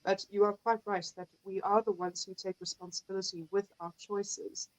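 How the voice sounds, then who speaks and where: A woman with a South African accent, explaining things in an articulate, measured way as an expert guest in a conversation. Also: medium pitch, level tone, darkish tone, dry recording, rough recording. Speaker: guest expert, naturopathic herbalist. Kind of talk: explanatory conversational interview talk.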